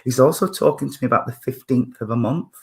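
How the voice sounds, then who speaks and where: Man, 50s, husky, medium at a service, halting and searching. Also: medium pitch. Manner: halting, thinking aloud with many fillers and restarts. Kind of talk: spiritualist mediumship reading.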